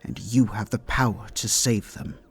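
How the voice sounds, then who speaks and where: A man voicing a mysterious young man with a mature, calm voice. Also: lowish voice, slightly rough. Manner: calm and unhurried.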